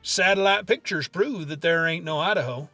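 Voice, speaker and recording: A man's voice: a little high, moderate pace, sharp tone, dry recording, soft background music. A man with a rural American accent co-hosting a comedy podcast, spinning tall tales in a folksy, stubbornly insistent way. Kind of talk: comic banter, telling tall tales.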